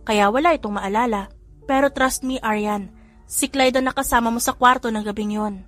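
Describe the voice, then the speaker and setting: A woman voicing an excitable, gossipy young woman friend who talks in gushing exclamations. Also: on the high side, fairly quick, soft background music, close to an everyday voice. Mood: confiding.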